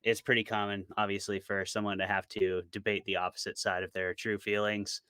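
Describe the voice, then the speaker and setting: A man talks casually as an interview guest, with a low, bright voice. The sound is close and dry, and his explanations are informal and conversational. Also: level tone, a little fast, clear, resonant tone, no echo. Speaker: podcast co-host and author being interviewed.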